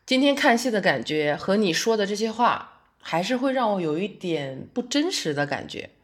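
A woman's voice: medium pitch, sing-song, normal speed, lightly acted. A woman acting a friendly, reflective working woman, a young adult theatre fan, in conversational, naturalistic audio drama dialogue.

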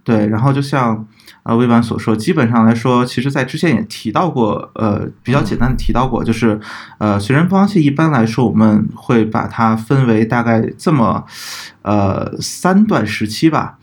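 A man co-hosting a podcast, explaining things methodically in casual talk, pausing and correcting himself as he goes. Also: mid-range voice, animated. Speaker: podcast co-host.

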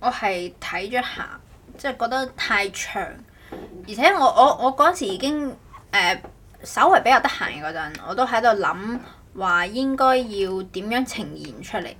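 A woman with a Cantonese accent hosting a casual podcast chat, speaking haltingly and often correcting herself. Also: mid-range voice, animated, a bit bright. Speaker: podcast host. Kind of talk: casual chat about making an audio show.

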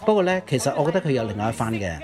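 A man's voice: medium pitch, big pitch swings, racing. A man co-hosts a casual show, chatting with frequent interjections and teacherly asides. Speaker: podcast co-host, radio DJ. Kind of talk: casual chat about old Cantopop. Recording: music under the voice. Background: background music.

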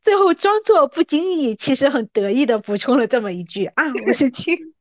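A woman's voice: sits high, very animated, fairly quick, darkish tone, no echo, muffled recording. A woman in her thirties in a casual, teasing chat between old friends. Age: 30s. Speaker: podcast guest.

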